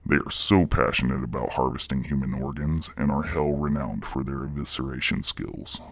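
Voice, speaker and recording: A man voicing a menacing reptilian demon boss with a deep gravelly growl, speaking in slow, mock-polite, condescending corporate fashion. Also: fairly even intonation, a bit bright, dry recording, heavily acted. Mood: smugly menacing.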